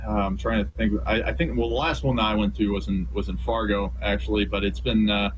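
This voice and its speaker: An American man chatting casually as an interview guest, reminiscing in a rambling, halting way with repeated words. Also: on the low side, steady tone, slight background noise, rough recording. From St. Louis region, USA. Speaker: interview guest, radio professional.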